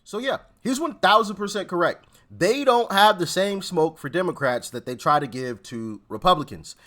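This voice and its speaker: A man's voice: high-pitched, lively intonation, moderate pace, fairly bright tone. A man hosting a casual political commentary show, speaking emphatically and sarcastically and often repeating himself for effect.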